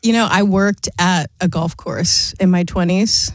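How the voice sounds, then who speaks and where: A woman co-hosting a radio chat while getting over a cold, a little scratchy, curious and quick to laugh. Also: lowish voice, dry recording, clean studio sound. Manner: curious, asks questions, laughs easily. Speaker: radio co-host.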